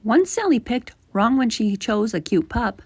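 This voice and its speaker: A woman with a fairly high voice reads a children's storybook. Her delivery is animated and emphatic, following the rhyme closely. The sound is dry, with very little room in it. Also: unhurried, husky, dry recording. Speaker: children's story reader and channel host. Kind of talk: expressive children's storybook read-aloud.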